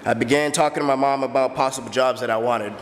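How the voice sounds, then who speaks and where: A man in his early twenties from the Bahamas, earnestly telling his own story as a steady personal testimony. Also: medium pitch, fast talker, big-room sound, slight background noise. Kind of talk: personal testimony, read aloud.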